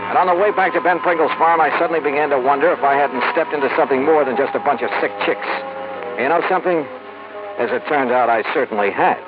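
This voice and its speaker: A man's voice: fairly high pitch, quick pace, narrow, tinny audio, loud music behind the voice, natural voice. An actor playing a tough, clipped insurance investigator who narrates his own case in a 1940s radio drama. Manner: clipped, hard-pressing narration. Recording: thin noisy old radio broadcast.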